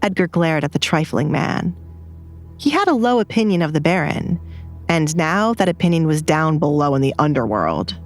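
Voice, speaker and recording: A woman's voice: low voice, big pitch swings, normal speed, soft background music. A woman reading scripted dramatic fiction as the narrator of an audio story.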